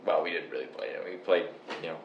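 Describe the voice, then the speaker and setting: A young man from Canada chatting casually and explaining things step by step in a relaxed, rambling way. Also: rumbling low, fast, highs cut off. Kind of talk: casual chat. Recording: echoey room with faint noise.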